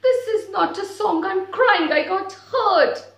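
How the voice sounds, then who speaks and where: A woman's voice: very high-pitched, takes it slowly, creaky, voice pushed up, full character voice. A woman voicing a small hurt female bird, plaintive and insistent, in a roomy-sounding recording. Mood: tearful, upset. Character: hurt little female bird, tearful and upset. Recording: roomy echo.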